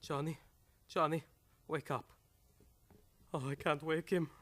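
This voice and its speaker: A man's voice: a little high, fairly even intonation, unhurried, noisy background, lightly acted. An actor playing a nervous, cowardly henchman doctor in an old-time radio comedy, pleading and jittery. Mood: anxious.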